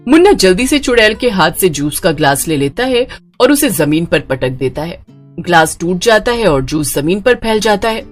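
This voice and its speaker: Animated woman narrating a horror story. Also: lowish voice, normal speed, soft background music.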